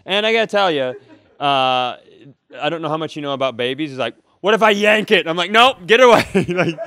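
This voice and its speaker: A man's voice: fairly high pitch, lively intonation, brisk, pushed and bright, no echo. A man hosting a comedy podcast, telling stories in casual banter and acting out little quotes as he goes. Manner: jokey storyteller, acts out short quotes.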